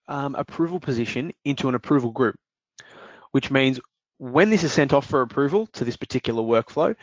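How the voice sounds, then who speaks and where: Man walking through software on a dull, dry mic. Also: medium pitch, no room sound. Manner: explanatory, steady, with fillers. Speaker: software demonstrator. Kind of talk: screen-recorded product walkthrough.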